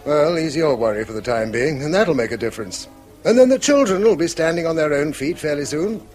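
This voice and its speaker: An actor with an English accent playing a dry, witty middle-aged schoolmaster in a radio drama, with a wry, bookish manner. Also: male voice, fairly high pitch, animated, a little fast, a little mellow, soft background music, natural voice. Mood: wry, reflective. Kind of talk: British radio drama acting.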